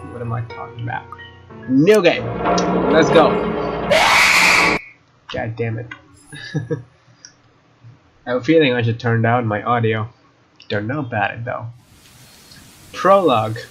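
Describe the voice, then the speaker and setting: A man's voice: medium pitch, lo-fi sound. A young man hosting a let's play, commenting on a game and reading its text aloud in a casual, jokey way. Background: faint game music.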